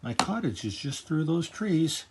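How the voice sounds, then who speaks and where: A grandfatherly man voicing a gentle, kindly old woman librarian in a storybook. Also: a little high, sing-song, a little slow, noisy background, voice pushed up.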